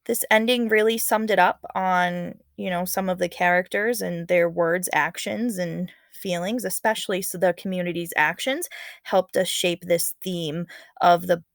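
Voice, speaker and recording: A woman's voice: on the low side, fairly even intonation, a little slow, vocal fry, dry recording, studio quality. A woman reading a story aloud as a teacher, expressively, with casual, encouraging comments along the way.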